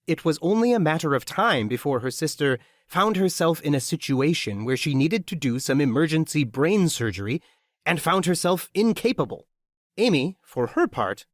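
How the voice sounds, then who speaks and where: A man's voice: on the high side, no room sound, clean studio sound. A man reading scripted fiction narration as an audiobook narrator.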